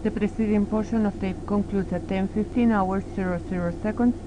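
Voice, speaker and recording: A woman's voice: medium pitch, steady tone, brisk. A woman reading a formal, precise scripted announcement for a tape log. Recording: noisy dictation cassette transfer.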